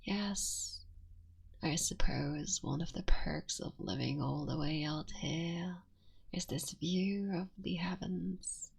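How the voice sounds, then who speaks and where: A woman voicing a tender, motherly female fox-spirit witch in an ASMR roleplay, speaking softly and often whispering. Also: sits low, slow pace, vocal fry, breathy edge, full character voice. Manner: soft and intimate, often whispering.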